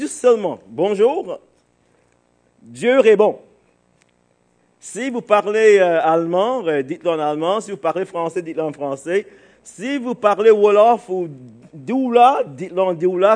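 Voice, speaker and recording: Higher-voiced man preaching, halting delivery. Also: darkish tone. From Barbados. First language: English. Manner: halting, searching for words. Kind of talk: informal sermon to a congregation.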